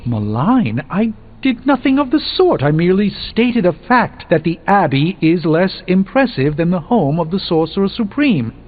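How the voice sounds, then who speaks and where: Haughty game sorcerer, thin phone-like audio, highly animated delivery, formal diction, middle-aged. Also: male voice, moderate pace, few pauses. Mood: indignant. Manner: pompous, formal, precise diction. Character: erudite, haughty middle-aged male sorcerer, proud and theatrical. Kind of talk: scripted video game acting. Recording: thin phone-like band, music behind.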